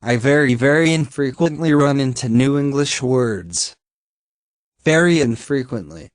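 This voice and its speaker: A man's voice: mid-range voice, lively intonation, unhurried, dry recording, studio quality. A man, a native Finnish speaker, giving blunt, sarcastic reaction commentary on a video and swearing now and then.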